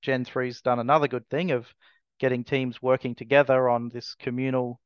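A man's voice: mid-range voice, little pitch movement, normal speed, smooth, no room sound, lo-fi sound. A man, answering interview questions as a racing team owner in a hesitant way, full of fillers and restarts.

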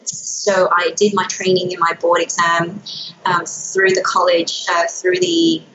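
A woman's voice: lowish voice, monotone, unhurried. A middle-aged woman, a native Burmese speaker who grew up in Hong Kong and Australia, talking conversationally as an interview guest in a slightly Australian accent. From born in Myanmar, grew up in Hong Kong and Australia. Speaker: guest, anti-aging physician. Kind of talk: conversational interview answers about own career. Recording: roomy echo, dull sound, faint noise.

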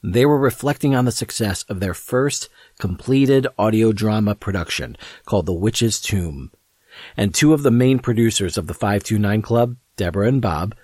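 A man's voice: fairly low pitch, darkish tone, no echo. A man reading a scripted introduction as the producer and host of an audio drama.

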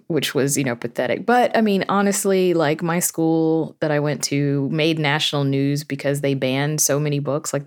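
A woman from the United States talking informally as an interview guest, telling things in a chatty, animated way with quoted asides. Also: deep voice. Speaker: podcast guest, horror fiction creator and media reviewer. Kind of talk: casual conversational interview.

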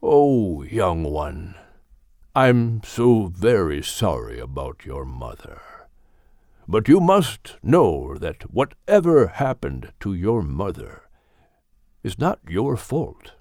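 Guy doing a wise fatherly old animal for a storybook, gentle but firm, takes his time, voice rises and sinks a lot. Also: sits low, huge pitch swings, slow pace, no echo, studio quality, voice pushed down, exaggerated voice. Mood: gentle, consoling. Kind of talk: audiobook character voice.

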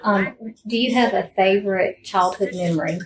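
A woman's voice: medium pitch, moderate pace. A woman, interviewing a guest in a casual, conversational style as a podcast host.

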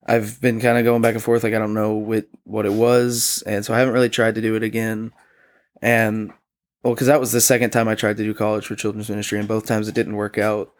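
A young man chatting hesitantly on a podcast, halting and soft-spoken as he talks things through. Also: fairly low pitch, little pitch movement. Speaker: returning podcast guest. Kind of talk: casual podcast chat.

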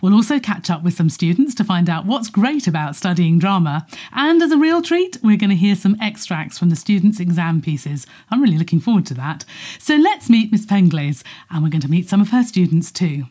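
A woman's voice: on the low side, expressive, a little fast, mellow. A woman hosting a school podcast, introducing her guest and asking questions in an encouraging way. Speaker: podcast interviewer. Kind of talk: podcast interview.

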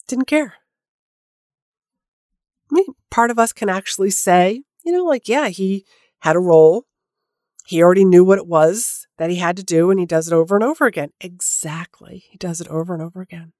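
A woman's voice: medium pitch, a little mellow, no room sound, studio quality. A woman hosting a solo podcast, talking in a chatty way with lots of fillers and restarts, sounding a little nasal from a head cold. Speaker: podcast host. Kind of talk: casual solo podcast talk.

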